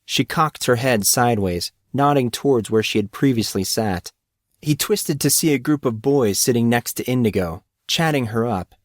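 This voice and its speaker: A man's voice: medium pitch, normal speed, no room sound, studio quality. A man reading a story aloud as scripted audiobook narration.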